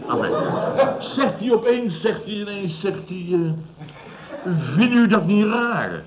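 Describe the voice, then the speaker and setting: An actor playing a scornful, blustering fifty-year-old building contractor boss in an old radio comedy, mocking and repeating himself. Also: male voice, sits high, very animated, takes it slowly, full character voice. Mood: indignant storytelling. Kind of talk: Dutch radio comedy acting around 1970. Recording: dull, noisy old radio recording.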